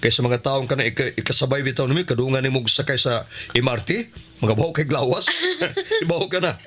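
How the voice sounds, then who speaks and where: An actor playing a kind, joking man who consoles a friend with hesitant, stammering warmth in a radio drama. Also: medium pitch, lively intonation, fairly quick. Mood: playful.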